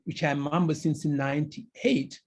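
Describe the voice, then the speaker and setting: Man from Senegal, husky voice, halting emphatic phrasing, video call talk. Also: on the high side, steady tone. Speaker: researcher presenting. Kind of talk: webinar presentation.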